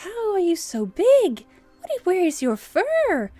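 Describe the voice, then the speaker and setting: A woman voicing small, amazed cat-folk villagers who fire off eager, excited questions. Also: extremely high pitch, takes it slowly, no room sound, faint music behind, pitched above the performer's own voice, full character voice.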